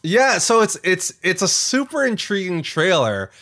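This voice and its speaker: A man's voice: high-pitched, sing-song, a little slow, bright, forceful tone. A man co-hosting a podcast in casual conversation, rambling as he goes and often doubling back to rephrase or repeat himself. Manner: rambling, frequent self-corrections and repeated phrases. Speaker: podcast co-host.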